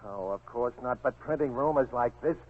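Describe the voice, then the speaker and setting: A man's voice: mid-range voice, moderate pace, slightly rough, lightly acted. A man acting a fretful, exasperated newspaper boss in a 1940s radio drama. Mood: flustered, exasperated. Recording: tinny, band-limited 1940s radio recording.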